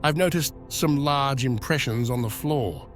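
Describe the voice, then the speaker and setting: A careful, watchful scout in a motion comic, played by a man. His voice sits at an average pitch and he talks in a wary, measured way. Faint music runs underneath. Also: close to an everyday voice. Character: cautious, observant young male scout. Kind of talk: motion comic dramatic acting.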